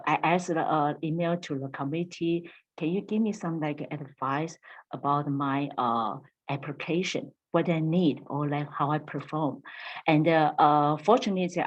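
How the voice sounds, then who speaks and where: A woman's voice: deep voice, poor audio. A woman from Taiwan who is not an English speaker, sharing her own job-search experience on a panel and searching for words with many uhs. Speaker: language faculty panelist. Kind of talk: Q&A panel anecdote.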